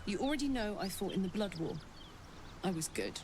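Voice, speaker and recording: A woman's voice: mid-range voice, lively intonation, a little fast, close to an everyday voice. A woman playing a brash, battle-hardened warrior on the run from devils in a video game, with a blunt, cocky, sweary manner. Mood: confident. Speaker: video game companion character. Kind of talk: dramatic video game voice acting. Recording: game audio with clear background noise.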